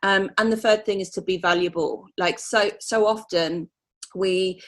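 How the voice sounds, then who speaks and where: Candid, energetic woman mentor. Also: mid-range voice, a little slow. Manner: candid, energetic, motivational, frequent "you know". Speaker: business mentor, guest. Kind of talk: casual interview answers.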